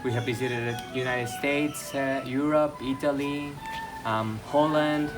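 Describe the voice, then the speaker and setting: Young Mexican man, Spanish native, easygoing guest, music in the background. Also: mid-range voice. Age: young adult. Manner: calm, measured, with hesitations. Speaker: interview guest. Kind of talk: casual conversation.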